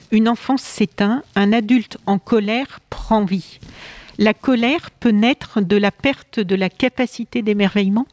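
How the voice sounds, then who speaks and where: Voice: medium pitch, dry recording. A radio interviewer who guides the conversation, reads passages from the guest's book aloud and spells out words.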